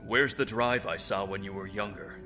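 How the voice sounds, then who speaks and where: A man playing a calm, supportive senior military officer in a sci-fi parody, speaking reassuringly. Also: fairly low pitch, normal speed, over music, natural voice. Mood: firm encouragement. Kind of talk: animated sci-fi parody voice acting.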